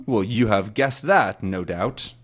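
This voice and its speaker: A man's voice: fairly low pitch, slow, fairly bright tone. A man voicing a menacing, mocking hidden villain who poses as a captain's ghost in a story read-aloud. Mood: commanding.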